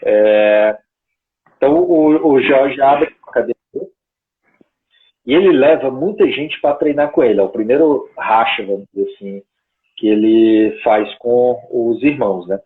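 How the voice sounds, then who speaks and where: A man's voice: medium pitch, measured pace. Man chatting over a tinny call line. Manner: rambling, conversational, frequent tag questions. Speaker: guest researcher. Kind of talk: casual storytelling chat. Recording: tinny phone-like call audio.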